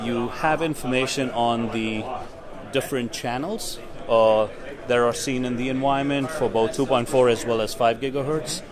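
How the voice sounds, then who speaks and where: A man giving an informal, explanatory product demonstration as a company representative. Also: mid-range voice. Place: indoor tech conference event. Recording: busy, noisy conference hall crowd. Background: crowd chatter.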